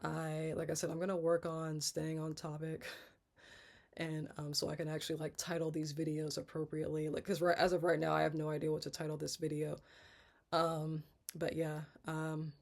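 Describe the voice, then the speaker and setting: A woman in her thirties speaks in a congested, gravelly voice with plenty of vocal fry. Her delivery is flat and even, halting, with frequent restarts as she rambles candidly through a personal vlog. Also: low-pitched. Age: 30s. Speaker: vlogger.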